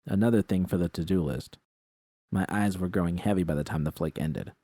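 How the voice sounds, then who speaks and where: A man narrates first-person fiction in a low, dark voice. It is a scripted audiobook read with a close, dry sound and no room around it. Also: brisk, no room sound.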